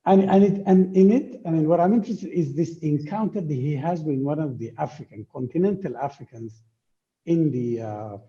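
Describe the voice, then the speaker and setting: A man with a very dark, mellow voice, pitched a little high, speaks off the cuff in a panel discussion. He halts, repeats words and searches for the next one. The recording is dull and muffled, like an online call. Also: normal speed. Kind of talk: informal, unscripted panel contribution.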